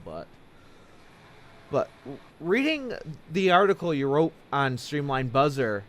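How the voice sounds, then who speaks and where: A man's voice: mid-range voice, fairly bright tone, slight background noise. A man hosting an informal interview, chatting casually in a rambling way. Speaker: interviewer, talk show host.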